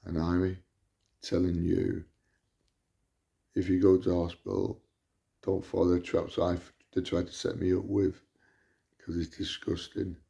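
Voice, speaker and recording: A man with a loud voice, delivering an indignant, repetitive rant to camera as a vlogger. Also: low-pitched, monotone, unhurried, gravelly, long pauses. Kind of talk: ranting monologue to camera.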